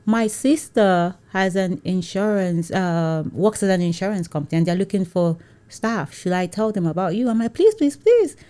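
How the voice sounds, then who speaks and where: A woman's voice: medium pitch, animated, normal speed, warm tone, smooth. A woman with a Nigerian accent, a guest in an interview, telling her story with frequent laughter and acted-out voices. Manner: laughs often, acts out quoted voices. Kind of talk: animated storytelling in conversation.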